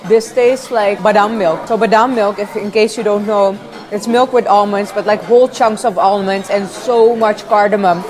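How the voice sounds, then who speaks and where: A young woman reviewing food on camera for a vlog, expressive as she tastes and talks. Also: mid-range voice, moderate pace. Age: young adult. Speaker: food and travel vlogger. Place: busy restaurant dining room. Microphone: clip-on lapel mic. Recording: restaurant crowd chatter behind.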